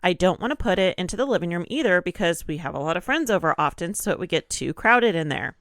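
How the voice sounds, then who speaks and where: A woman with a slightly low, congested voice reads a post aloud for a podcast in an easygoing, chatty way. She sounds a little nasal, and the recording is dry and close. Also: fast talker, no echo. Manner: relaxed, laughs often. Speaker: podcast co-host. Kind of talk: casual chat, reading a post aloud.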